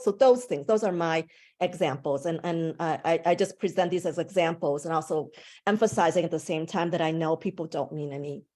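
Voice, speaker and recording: A woman's voice: lowish voice, a little mellow. A woman from the United States, a French professor, lecturing to a class with many fillers and restarts.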